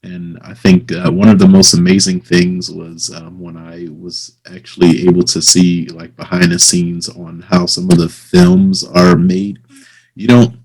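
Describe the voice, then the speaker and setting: A man hosting a casual interview, talking haltingly as he searches for words. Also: deep voice, a little mellow, rough recording. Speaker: interviewer, host.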